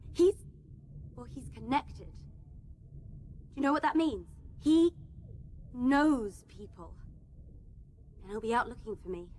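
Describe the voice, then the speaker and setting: Casting: actress as high-pitched hostage daughter of a powerful man, insistent, defiant and threatening, radio drama. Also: slight background noise. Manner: insistent, repetitive. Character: captive rich man's daughter, defiant, vengeful, threatening. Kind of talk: radio play acting.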